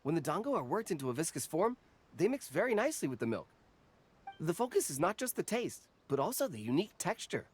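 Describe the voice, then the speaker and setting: A man's voice: fairly high pitch, big pitch swings, fast, breathy edge. An actor voicing a nervous young snack vendor who stammers and apologizes while explaining his wares in a game cutscene.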